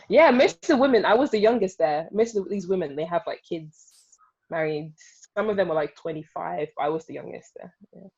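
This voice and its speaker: A woman's voice: on the low side, expressive, moderate pace, gravelly, a little mellow, husky, highs cut off. A woman in her early twenties, a UI developer guest, chatting casually on a podcast and laughing often. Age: 20s.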